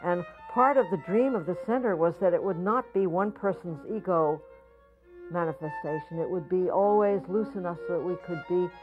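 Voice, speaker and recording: A woman's voice: fairly low pitch, moderate pace, music in the background. Thoughtful older woman, muffled lo-fi sound. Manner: thoughtful, searching for words, repeats herself. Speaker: potter and art school founder. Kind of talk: reflective interview talk. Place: studio or home with pottery shelves.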